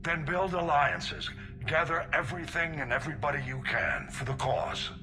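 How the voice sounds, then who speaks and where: An actor playing an older admiral in a video game, grave, deliberate and authoritative. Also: male voice, a little high, music in the background, lightly acted. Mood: grave, commanding. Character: commanding older male admiral, grave and determined. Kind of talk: video game cutscene acting.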